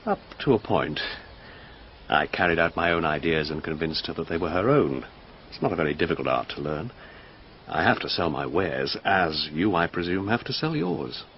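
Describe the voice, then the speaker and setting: A languid, vain garden designer in a radio mystery, cool and faintly disdainful. His voice is low, with expressive swoops, on a muffled recording. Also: moderate pace. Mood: wry, knowing. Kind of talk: radio drama acting. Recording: dull lo-fi radio drama, noisy.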